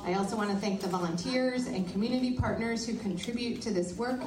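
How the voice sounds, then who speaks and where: A woman reading a letter aloud at a public event, brisk and adding her own asides. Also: on the high side, far from the mic. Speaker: reader of a message. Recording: roomy, from afar, crowd behind.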